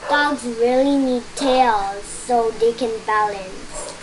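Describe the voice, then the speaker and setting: A child reading a picture book aloud in a halting way, stumbling and repeating words, with spoken asides. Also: medium pitch, background noise. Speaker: storytime reader.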